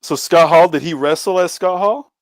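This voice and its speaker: Dry-sounding, higher-pitched excitable man. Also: moderate pace, no room sound. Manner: slangy, excitable, says bro and dude. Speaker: podcast co-host. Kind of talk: casual group chat.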